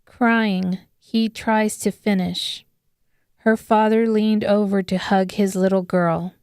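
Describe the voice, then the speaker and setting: Female audiobook narrator, unhurried and smooth, dry close sound, scripted dramatic storytelling. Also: medium pitch, fairly even intonation, a little mellow, no echo.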